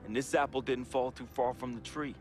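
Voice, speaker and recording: An actor playing a troubled, grieving young man reading his farewell letter as a solemn, deliberate, confessional monologue. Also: mid-range voice, steady tone, natural voice. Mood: wistful. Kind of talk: dramatic first-person monologue. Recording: voice-over with music underneath.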